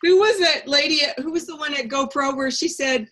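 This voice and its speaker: High-voiced chatty Jersey woman on a call. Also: a little fast, a bit bright. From New Jersey. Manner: chatty and teasing. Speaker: member of an online book group. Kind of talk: casual group video call. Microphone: video call.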